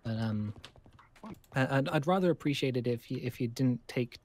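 A man's voice: mid-range voice, normal speed, darkish tone, a little hiss or hum, natural voice. A man playing a polite, awkward school survivor in a game roleplay, stammering and apologetic as he rambles. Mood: chatty.

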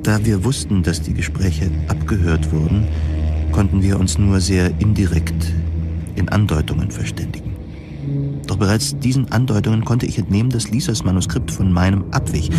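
Man acting as troubled dystopian bureaucrat, low-pitched, introspective, measured sci-fi radio play narration, prominent background music. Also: natural voice. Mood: pensive, restrained. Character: troubled male government manuscript reviewer in a dystopian future. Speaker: narrator of a science fiction audio drama. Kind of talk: scripted first-person audio drama narration.

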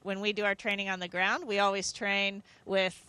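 A woman's voice: mid-range voice, flat delivery, normal speed, pushed and bright. A woman, around her forties, speaking as an astronaut in an interview, explaining things conversationally into a handheld microphone. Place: inside a space station module.

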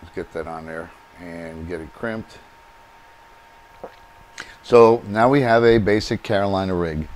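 A man's voice: deep voice, measured pace, pauses often, darkish tone, a little hiss or hum. A man hosting a do-it-yourself video, explaining each step as he works with his hands at a workbench in his home workshop. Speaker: DIY channel host. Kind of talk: hands-on how-to demonstration.

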